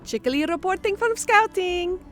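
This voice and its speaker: A woman's voice: very high-pitched, animated, measured pace, a bit bright, soft background music, exaggerated voice. A woman voicing a cheerful, childlike little bird-woman scout in an audiobook, speaking in simple broken phrases. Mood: happy, eager. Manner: broken, childlike third-person speech. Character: happy, childlike sparrow harpy scout, chirpy and indignant. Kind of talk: audiobook character acting.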